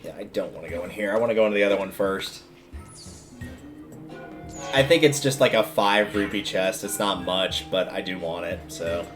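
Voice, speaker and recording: A man with a fairly low voice talks through a video game on a livestream. He rambles casually and thinks aloud as he plays. Music plays behind his voice. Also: normal speed.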